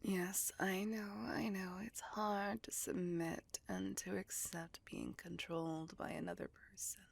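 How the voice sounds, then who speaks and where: A woman voicing a centuries-old female vampire in an intimate ASMR roleplay, possessive, doting and teasing, in a soft, breathy, coaxing whisper. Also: fairly low pitch, level tone, a little slow, dry recording, exaggerated voice. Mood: coaxing, tender.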